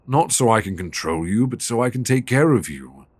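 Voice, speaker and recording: Man acting a commanding British boyfriend, swinging pitch. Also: animated, normal speed, a little mellow, no echo, natural voice. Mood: tender, worried. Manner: commanding, emotionally intense. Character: stern, exhausted, wounded but devoted dominant boyfriend. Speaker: audio roleplay performer. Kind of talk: intimate ASMR boyfriend roleplay acting. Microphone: close binaural microphone.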